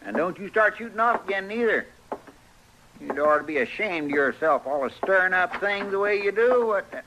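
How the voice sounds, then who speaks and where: An actor playing a fussy, good-hearted frontier deputy in an old radio western, with a grumbling rustic American drawl. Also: male voice, high-pitched, lively intonation, moderate pace, pushed and bright. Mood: scolding. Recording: noisy, crackly old radio recording.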